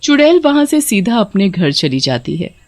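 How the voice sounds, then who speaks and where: A woman's voice: moderate pace, no echo. Woman narrating a horror story. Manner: steady storytelling, dropping lower for eerie moments.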